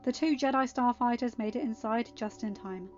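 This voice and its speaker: A woman reading a fan fiction story aloud as an amateur narrator, in an expressive scripted style. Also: fairly high pitch, moderate pace. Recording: dull, band-limited audio with background music. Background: quiet music.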